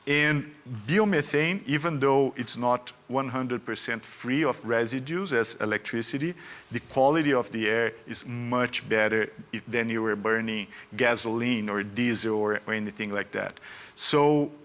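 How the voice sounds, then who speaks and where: A man speaking on a conference panel, explaining his points step by step at a middling pitch. He pauses often and fills the gaps with soft fillers as he goes. His delivery is steady and conversational. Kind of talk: panel discussion remarks.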